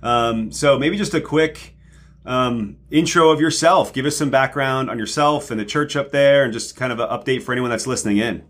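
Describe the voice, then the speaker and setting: A man's voice: medium pitch, normal speed, fairly bright tone. A man hosting a church podcast, opening the episode and welcoming guests in a friendly way. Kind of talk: casual chat.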